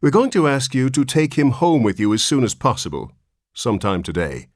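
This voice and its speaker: A stern, no-nonsense nurse, voiced by a male narrator, speaking severely with a lifted pitch and lively rise and fall. The reading is recorded close and dry. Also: normal speed, no room sound.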